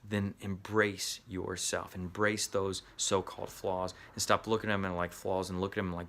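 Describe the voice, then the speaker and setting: A middle-aged man with a Southern US accent speaks earnestly to camera, coaching actors. His voice sits a little low, and he often repeats and restarts words as he goes. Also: level tone, normal speed, gravelly, a bit bright, slight background noise. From US Midwest. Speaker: acting coach. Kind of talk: motivational talk to camera.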